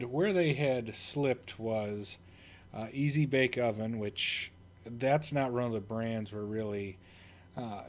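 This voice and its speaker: A man's voice: mid-range voice, darkish tone. A man who hosts an investing channel, talking loosely through a stock he owns, with plenty of fillers and restarts. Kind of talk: casual stock commentary over screen recording.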